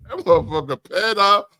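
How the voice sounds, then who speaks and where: A man's voice: high-pitched, takes it slowly, bright, forceful tone. A man hosting a podcast in casual, joking group banter, rambling as he goes. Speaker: podcast host.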